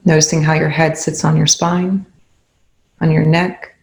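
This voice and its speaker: A meditation instructor leading a guided session in a soothing, gentle, unhurried way with long pauses. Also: mid-range voice, flat delivery, gravelly, reverberant.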